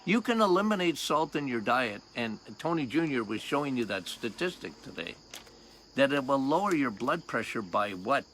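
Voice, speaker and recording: An older man with a loud voice, a doctor hosting a health podcast outdoors, talking casually and emphatically, repeating key points and quizzing his listeners. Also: medium pitch, sing-song, moderate pace, slight background noise. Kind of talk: casual health talk monologue.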